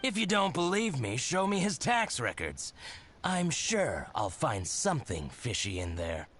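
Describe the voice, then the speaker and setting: A spiteful, gloating merchant. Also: male voice, mid-range voice, huge pitch swings, a little slow, fairly bright tone, a little hiss or hum. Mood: scornful. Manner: scornful, gloating, theatrical. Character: spiteful grudge-holding male merchant. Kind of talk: game voice acting.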